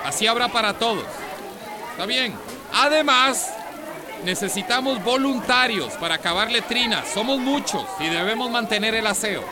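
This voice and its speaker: A man's voice: extremely high pitch, animated, moderate pace. An actor playing a commanding male shelter organiser addressing a group in a radio soap. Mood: rallying. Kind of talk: radio soap opera acting. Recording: crowd murmur behind.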